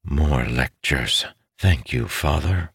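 A man's voice: a bass voice, expressive, slow pace, creaky, a bit bright, breathy edge, no room sound, studio quality, heavily acted. A man voicing a spoiled, hot-tempered younger brother in an audiobook, terse and sarcastic. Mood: sullen, sarcastic. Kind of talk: audiobook character voice.

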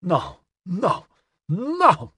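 A man's voice: sits high, huge pitch swings, drawn-out words, sharp tone, husky, no room sound, studio quality, pitched above the performer's own voice, full character voice. A man voicing an emotional, distraught male character with anguished delivery in an audiobook. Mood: horrified. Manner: anguished, trembling outbursts.

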